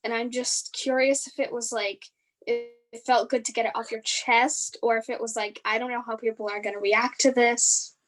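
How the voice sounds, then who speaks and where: Higher-voiced woman asking a question. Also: level tone, normal speed, a bit bright, soft background music, rough recording. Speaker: audience member asking a question. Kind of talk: casual question.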